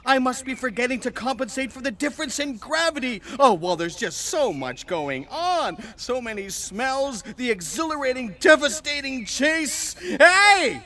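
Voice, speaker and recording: Wildly excitable high-pitched alien dog. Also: male voice, unhurried, few pauses, exaggerated voice. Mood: amazed, excited. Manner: theatrical, exclamatory, arguing with himself. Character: excitable male alien disguised as an adorable little dog. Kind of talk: sci-fi audio theatre acting.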